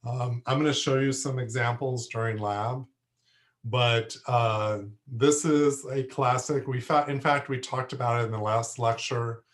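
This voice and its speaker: A man teaching a plant class who is losing his voice, hoarse and halting, often stopping to clear his throat. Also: medium pitch, steady tone. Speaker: horticulture instructor. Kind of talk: lecture to a class.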